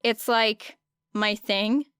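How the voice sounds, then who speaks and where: Defiant, snarky girl performed by a woman, goading and dry, crystal-clear tone, close dry audiobook recording. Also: a little high, steady tone, very slow, a bit bright, no room sound. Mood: cheeky, dry. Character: cocky, sarcastic young girl, goading and defiant. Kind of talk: audiobook character dialogue.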